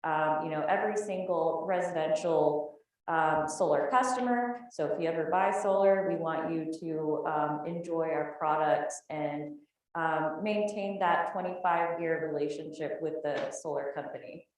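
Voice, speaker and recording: A woman speaking casually and a little haltingly as she introduces her company on a panel. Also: low-pitched, a little mellow. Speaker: startup representative on a panel. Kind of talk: informal company introduction to audience. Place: large room at live event. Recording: distant mic in very echoey room.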